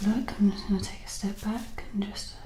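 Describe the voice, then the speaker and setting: A woman speaking gently and carefully in a soft, whispery ASMR voice, as a clinician examining a patient. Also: mid-range voice, steady tone, normal speed. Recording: echoey room with faint noise.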